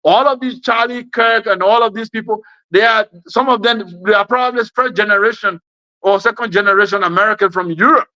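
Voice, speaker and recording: A man's voice: high voice, pushed and bright, dry recording, muffled recording. A man with a West African accent gives an impassioned political speech, emphatic and repeating phrases for effect. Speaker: speaker giving an address.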